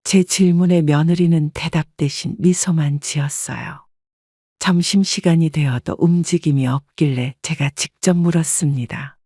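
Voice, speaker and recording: A woman narrating a family story in a calm, measured way, recorded on a close studio mic. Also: sits low, no echo.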